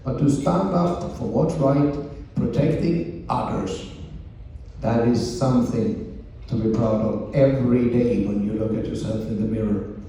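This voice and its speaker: A ship's captain, a man from Sweden with a Swedish accent, giving a slow, joking speech at a ceremony on a cruise ship. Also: mid-range voice, mellow. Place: event room on a cruise ship. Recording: echoey room, distant mic, faint noise.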